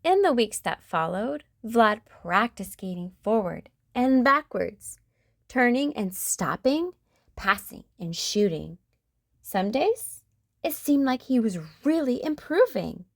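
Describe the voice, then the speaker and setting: A woman hosting a children's storytime, reading a picture book aloud with an expressive, sing-song delivery. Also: a little high, slow pace, vocal fry, no room sound, studio quality.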